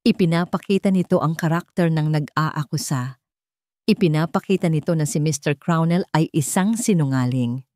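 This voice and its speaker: A confident defense attorney in a radio-style courtroom drama , played by a woman storyteller. The delivery is formal and deliberate, pressing each accusation relentlessly. The voice sits fairly low and sounds dry and close. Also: warm tone, dry recording, close to an everyday voice. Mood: forceful.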